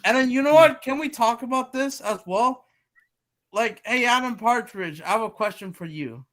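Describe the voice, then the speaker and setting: A man's voice: very high-pitched, normal speed, a bit bright. A nineteen-year-old man chatting casually as a guest, rambling and earnest, repeating himself. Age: young adult. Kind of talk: casual chat.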